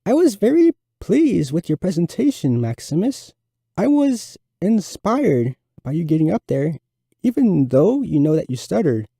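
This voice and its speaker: A man's voice: sits high, very animated, unhurried, vocal fry, warm tone, slightly rough, no echo, clean studio sound, pitched above the performer's own voice, full character voice. A man voicing a supportive young schoolgirl, earnest and admiring, in a narrated story. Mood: earnest praise.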